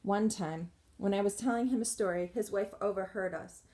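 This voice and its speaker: A woman reading a memoir aloud in a measured, earnest way, with occasional restarts. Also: mid-range voice, mellow, echoey room. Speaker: audiobook reader.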